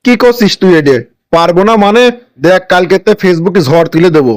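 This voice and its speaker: A man's voice: sits high, lively intonation, brisk. A man playing a cocky, eager political henchman in a cartoon, brash and boastful, with a Kushtia accent from Bangladesh. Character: cocky, eager hired political smear-poster. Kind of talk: animated cartoon dialogue.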